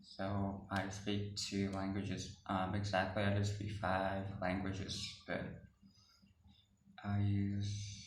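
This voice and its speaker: Hesitant man, low and flat. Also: far from the mic, slight background noise. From Laos. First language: Hmong. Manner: hesitant, many fillers and restarts. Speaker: YouTuber. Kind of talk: casual vlog talk to viewers. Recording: echoey room, voice off-mic, faint ambience.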